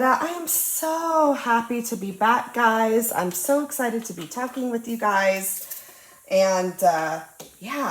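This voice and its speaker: A young woman with a cold and a slightly nasal voice, chatting casually and bubbly while she works on a craft at her table. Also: on the high side. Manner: bubbly, chatty, lots of ums and uhs. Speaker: diamond painting channel host. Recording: roomy echo.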